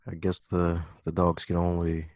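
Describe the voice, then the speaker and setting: A man's voice: low voice, monotone, normal speed, darkish tone, no room sound, clean studio sound. A man hosting a podcast interview, upbeat and playful, repeating his catchphrases. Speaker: podcast host. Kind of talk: casual interview chat.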